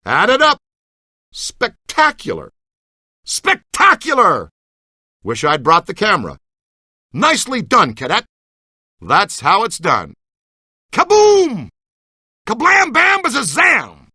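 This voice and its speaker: Middle-aged ghostbuster type for a game, eager team leader, swings all over, super dry sound. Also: male voice, high-pitched, huge pitch swings, slow pace, long pauses, bright, forceful tone, slightly rough, no echo, heavily acted. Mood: excited, praising. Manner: enthusiastic, slangy, folksy team-leader talk. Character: eager, excitable middle-aged male ghost hunter mentoring a rookie. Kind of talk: video game voice acting.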